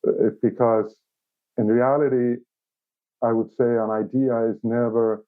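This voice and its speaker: A man from Iceland with an Icelandic accent, answering casually as an interview guest in a halting way, repeating words as he searches for phrasing. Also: mid-range voice, level tone. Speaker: interview guest, company operations director. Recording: thin phone-like call audio.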